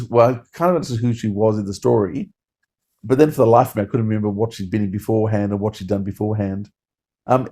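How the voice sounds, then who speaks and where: A man's voice: on the low side, mellow. A man co-hosting a podcast, giving a casual review in an enthusiastic, rambling way. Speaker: podcast co-host.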